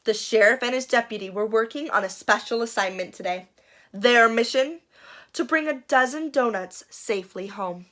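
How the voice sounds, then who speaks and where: A woman reading a picture book aloud for children in an expressive, dramatic and playful way, building suspense. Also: on the high side, moderate pace. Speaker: children's storyteller.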